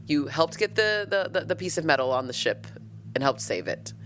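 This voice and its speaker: A player voicing a pompous, defensive scientist in a halting, blustering way during improvised tabletop roleplay. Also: mid-range voice, animated, a bit bright, lightly acted. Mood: defensive, indignant. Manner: halting, blustering, self-justifying. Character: pompous, defensive scientist, insecure and self-important, adult.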